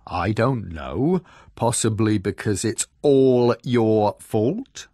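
A man voicing a gloomy, pompous bear with a heavy, rumbling voice in a storybook reading. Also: takes it slowly, no room sound, studio quality. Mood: sullen, grumbling. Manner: ponderous, blustering. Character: grumbling, gloomy, pompous bear, a thunder god in disguise.

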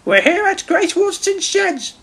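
A performer voicing an eager, happy young male train engine in a children's story, polite and excitable. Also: very high voice, normal speed, vocal fry, background noise, voice pushed up, exaggerated voice. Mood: cheerful, eager.

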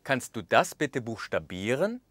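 A man in his thirties presenting a language course in a studio, speaking with expressive gestures and acting out the example phrases. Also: mid-range voice, measured pace, no echo. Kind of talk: scripted lesson narration. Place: studio with orange backdrop.

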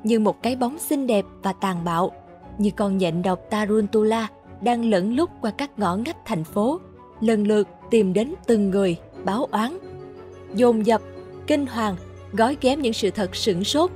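A woman's voice: medium pitch, expressive, a little slow, a little mellow, soft background music. A woman reading a scripted, dramatic introduction to a book as its announcer.

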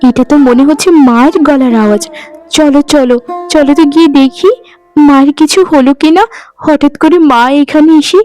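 Woman as a high-voiced, gentle young village wife. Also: moderate pace, natural voice, rough recording. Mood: worried. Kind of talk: cartoon drama dialogue. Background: plucked-string music.

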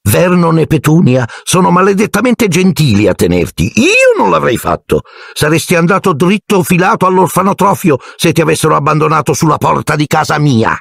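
A man reading aloud and voicing a domineering middle-aged aunt in a shrill, barking falsetto. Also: wildly swooping pitch, fairly quick, vocal fry, hardly any pauses, a bit bright, no room sound, studio quality, full character voice. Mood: scolding.

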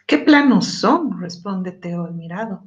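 A woman's voice: medium pitch, lively intonation, a little slow, a crowd in the background. A woman reading a children's storybook aloud in the manner of an elementary school teacher. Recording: dull echoey room recording.

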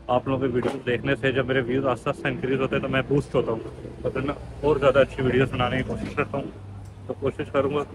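A man in his thirties with a Pakistani Urdu accent talks casually into a phone held out in front of him while walking a busy street. His voice sits at an ordinary middle pitch and he explains things as he goes. Clear traffic noise runs underneath the whole outdoor recording. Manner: chatty, explains as he walks. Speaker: vlogger. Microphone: phone held at arm's length.

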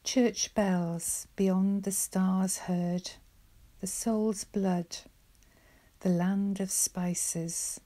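A woman recites poetry at a very slow, deliberate pace. Her tone is mellow, and she leaves a pause after each short phrase. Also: mid-range voice, level tone. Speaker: poetry reader.

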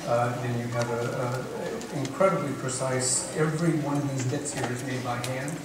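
A man's voice: mid-range voice, steady tone, moderate pace, background noise. A middle-aged man on a theater stage, casually explaining his craft with a lot of fillers. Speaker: animation supervisor. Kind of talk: explaining on a panel. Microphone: lapel mic. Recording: echoey hall heard from far.

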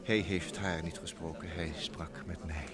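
An actor playing a smooth, talkative young private secretary and blackmailer, theatrically polite, in vintage radio drama style. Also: male voice, low-pitched, steady tone, unhurried, a crowd in the background. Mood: slyly calculating. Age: young adult. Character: smooth, sly thirty-year-old New York private secretary, a blackmailer. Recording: old radio play recording with music.

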